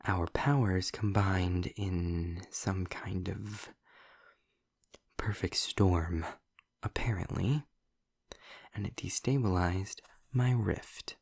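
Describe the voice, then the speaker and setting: A man playing a sarcastic, articulate demon in an audio roleplay, with a gravelly, breathy voice and wry, formal delivery. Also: low-pitched, steady tone, darkish tone, no room sound, clean studio sound, full character voice. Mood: wry, bitter. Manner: wry, formal wording, deliberate pauses. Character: sarcastic, snarky male demon, articulate and testy. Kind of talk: scripted audio roleplay monologue addressed to the listener.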